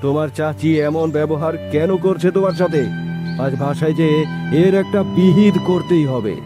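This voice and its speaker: A man voicing a kind, protective farmer uncle in a children's cartoon, gentle but firm. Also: on the high side, normal speed, over loud music, lightly acted. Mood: surprised, concerned.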